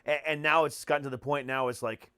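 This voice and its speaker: A man's voice: mid-range voice, steady tone, fast, sharp tone, clear, resonant tone, no room sound, clean studio sound. An American man hosting a podcast in casual chat, speaking bluntly and swearing freely. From United States. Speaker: podcast host and comedian.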